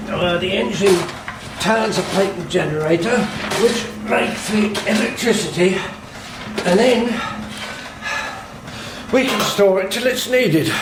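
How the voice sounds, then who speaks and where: A man's voice: sits high, slow, long pauses, roomy sound, noisy background. An actor playing a deferential working-class handyman and electrical engineer in a radio drama, chatty and proud of his work. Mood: proud, friendly. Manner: deferential, chatty, says "Mum".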